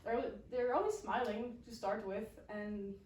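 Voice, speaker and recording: A young woman from Switzerland, not a native English speaker, giving a halting personal account as a student speaker at an event. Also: fairly high pitch, darkish tone. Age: young adult. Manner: halting, pausing mid-phrase. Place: indoor event room at a college. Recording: roomy, distant mic, faint noise.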